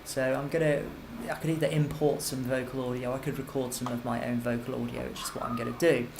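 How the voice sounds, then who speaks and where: A man giving an informal, step-by-step software tutorial as a music technology teacher, explaining as he goes. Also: mid-range voice, flat delivery, fast. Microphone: computer microphone. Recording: clearly noisy computer microphone recording.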